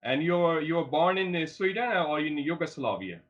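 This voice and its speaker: A man's voice: fairly high pitch, animated, normal speed, dull audio. A man hosting a studio interview, talking in an upbeat promotional way and often repeating and restarting his phrases. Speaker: host.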